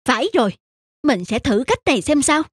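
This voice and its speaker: A woman's voice: high voice, very animated, fairly quick, slightly rough, no room sound, clean studio sound, exaggerated voice. A woman voicing a sly female cartoon cat with a scheming, gloating manner. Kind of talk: cartoon voice acting. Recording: loud music under the voice.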